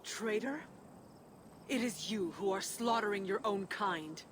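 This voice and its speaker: Game character, actress as cold, scornful woman challenger, mid-pitched, faint ambient noise. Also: a little slow, close to an everyday voice.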